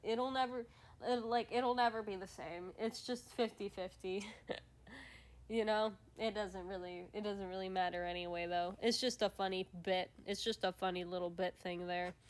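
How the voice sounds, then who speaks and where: A woman's voice: mid-range voice, fairly even intonation, normal speed. A woman hosting a casual livestream chat, sniffly and stuffed up, rambling with lots of restarts and fillers. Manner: rambling, many restarts and fillers, laughs often. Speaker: streamer host.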